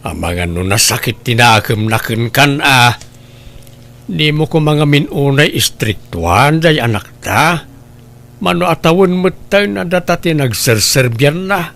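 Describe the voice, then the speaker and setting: A man's voice: fairly high pitch, expressive, measured pace, gravelly, fairly bright tone, exaggerated voice. A man acting a patient, conciliatory middle-aged husband and father in an Ilocano comedy drama, speaking with an Ilocano accent in a reasoning, placating way. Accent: Ilocano, northern Philippines.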